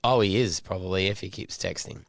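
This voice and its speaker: A middle-aged man co-hosting a podcast, chatting casually and teasing with quick storytelling. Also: deep voice, creaky, fairly bright tone. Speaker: podcast co-host. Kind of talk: casual chat.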